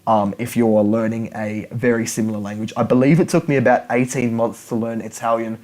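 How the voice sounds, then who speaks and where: A young man talks straight to camera in a relaxed, unscripted lesson. He rambles a little, doubling back on words and restarting phrases as he explains. His voice sits at an ordinary middle pitch for a man. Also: fairly quick. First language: English. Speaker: language learning YouTuber.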